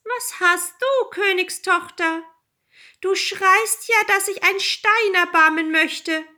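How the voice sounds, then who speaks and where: Woman voicing a high, insistent storybook frog. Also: takes it slowly, pushed and bright, exaggerated voice. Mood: taunting. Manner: insistent, demanding. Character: pushy, pleading talking frog, male. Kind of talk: fairy tale storytelling.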